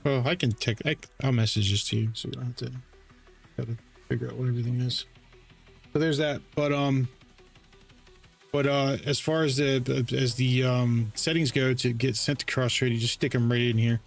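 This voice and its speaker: A man hosting a casual screen-share tutorial, explaining settings in a halting way with frequent self-corrections. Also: medium pitch, fairly even intonation, vocal fry. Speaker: trading bot developer and livestream host. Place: live voice call on a screen-share stream. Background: music.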